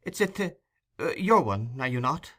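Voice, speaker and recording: A man's voice: medium pitch, big pitch swings, moderate pace, bright, forceful tone, husky, pitched above the performer's own voice, exaggerated voice. A man voicing a timid, innocent young orphan boy in an audiobook, hesitant and polite.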